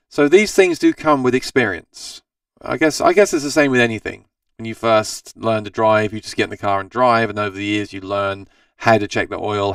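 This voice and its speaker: A man with a tired, scratchy voice hosts a casual live chat about guitars, rambling from one point to the next and often restarting his sentences. His tone is gravelly yet smooth underneath, and the sound is dry, sitting a little back from the microphone. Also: lowish voice, a bit bright, dry recording, distant mic. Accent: Australian. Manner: rambling, self-correcting, chatty. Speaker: host of a live gear Q&A stream. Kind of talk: casual live-stream chat answering viewer comments.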